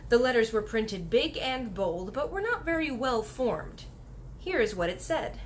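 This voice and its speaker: Female children's story reader, higher voice with animated melody, noisy home-style recording. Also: a little slow. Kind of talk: children's book read-aloud narration.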